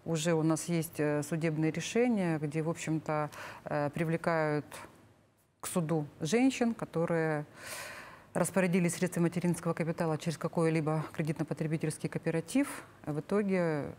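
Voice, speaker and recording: Low-voiced middle-aged woman explaining. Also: steady tone, normal speed, darkish tone. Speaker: government official, expert guest. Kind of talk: studio interview explanation. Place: TV studio, seated at a table. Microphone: lapel.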